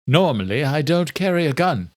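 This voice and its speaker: A man voicing a calm, protective lawman with a secretive side in an audiobook thriller. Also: fairly high pitch, moderate pace, no room sound, studio quality, voice pushed up. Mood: reassuring.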